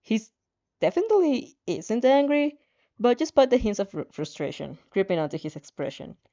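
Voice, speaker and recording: A woman reading fan fiction aloud in an animated way, now and then stumbling and rereading a phrase, on a slightly dull recording. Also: on the high side, normal speed. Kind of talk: animated story reading with asides.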